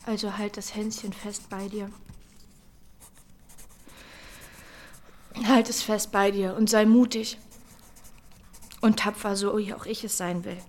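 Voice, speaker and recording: An actress playing a condemned young mother in a German radio drama, tender and bravely composed, with a halting, breathy, often whispering delivery. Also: medium pitch, flat delivery, a little mellow, lightly acted. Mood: brave, loving.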